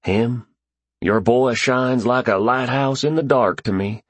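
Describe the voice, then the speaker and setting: A man's voice: medium pitch, takes it slowly, slightly rough, no room sound, voice pushed up. A man voicing a smallish, humble, friendly Southern man in an audiobook, with a tentative, earnest drawl. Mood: apologetic, earnest.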